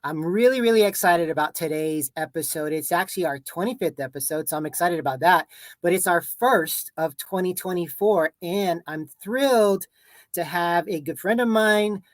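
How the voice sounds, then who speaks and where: A man with a Texan accent hosting a podcast interview, upbeat and a little halting, repeating words as he goes. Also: sits high, no room sound. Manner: upbeat, stumbles and repeats words, says "obviously" and "mm-hmm". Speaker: podcast host.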